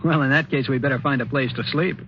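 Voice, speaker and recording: Actor as a casual young husband, noisy old radio. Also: male voice, medium pitch, fast, creaky, muffled recording, lightly acted. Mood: uneasy. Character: reluctant, easygoing young husband tagging along. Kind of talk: 1950s radio drama acting.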